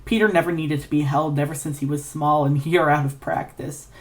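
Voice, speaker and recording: A woman reading literary fiction as a dramatic narrator, intense and deliberate in delivery. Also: deep voice, steady tone. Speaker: audiobook-style reader of fan fiction.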